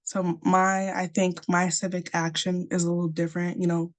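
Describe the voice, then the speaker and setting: A teenage girl from Nigeria, a high school senior, telling her story casually on an online panel over a video call. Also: flat delivery, moderate pace. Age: teens. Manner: casual, chatty, with fillers.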